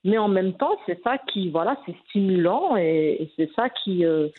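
A woman's voice: fairly low pitch, lively intonation, moderate pace, a little hiss or hum. A woman in her forties giving an interview, talking in a hesitant way with many fillers and restarts. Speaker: guest. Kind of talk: conversational interview. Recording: phone-like narrow band.

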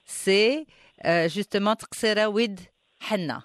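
Man, interviewer, halting questions, dry close mic. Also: high voice, fairly bright tone, dry recording. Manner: hesitant, searching for words.

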